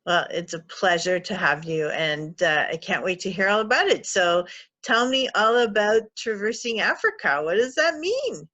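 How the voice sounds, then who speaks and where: A woman's voice: mid-range voice, expressive, moderate pace, sharp tone, muffled recording. A woman hosting an interview, upbeat and enthusiastic as she asks her guest questions. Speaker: interview host. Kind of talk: casual interview.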